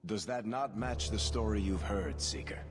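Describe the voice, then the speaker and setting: A man playing a wry, roguish storyteller in a video game, smooth-talking and dramatic. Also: on the low side, moderate pace, lightly acted. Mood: sardonic. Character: wry, roguish male storyteller under interrogation.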